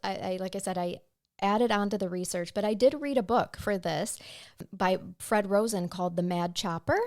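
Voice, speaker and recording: A woman's voice: medium pitch, moderate pace. A woman hosting a podcast, talking in a bubbly, chatty way that moves between ad reads and banter with her co-host. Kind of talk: casual chat.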